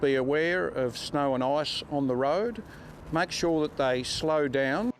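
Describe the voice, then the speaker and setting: A man's voice: on the high side, takes it slowly. A man giving a spokesman's statement in a news interview. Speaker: emergency services spokesman. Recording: clear outdoor traffic noise behind.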